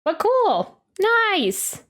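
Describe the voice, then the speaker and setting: A woman's voice: very high-pitched, huge pitch swings, extremely slow. A woman streamer reacting casually and talking with her viewers in informal, slangy language. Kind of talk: casual livestream reaction chat.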